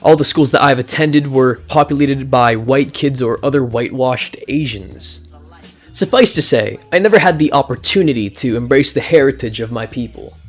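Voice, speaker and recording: A young man delivers a scripted speech in a relaxed, joking manner. His voice sits at a middle pitch for a man. He drops slangy asides and mock-dramatic flourishes into the prepared lines, and faint music plays underneath. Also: moderate pace. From United States. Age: young adult. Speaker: student giving a class speech. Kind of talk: recorded speech, scripted but jokey.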